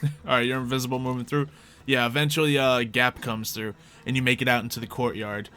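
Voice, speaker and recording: A man's voice: mid-range voice, flat delivery, fast, pushed and bright, faint music behind. A man acting as game master, narrating a tabletop roleplay game in a casual, improvised conversational way.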